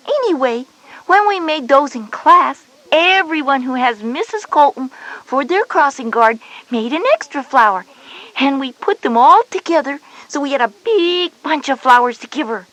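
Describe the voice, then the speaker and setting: Casting: a bright schoolgirl big sister, high voice, patient and bossy-helpful, for a children's educational cassette. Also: low-quality recording. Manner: explanatory, instructive.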